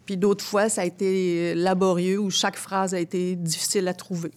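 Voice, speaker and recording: A woman with a Quebec French accent giving reflective, conversational interview answers, expressive and often searching for her words. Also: on the low side, normal speed. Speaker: interview guest, theatre translator.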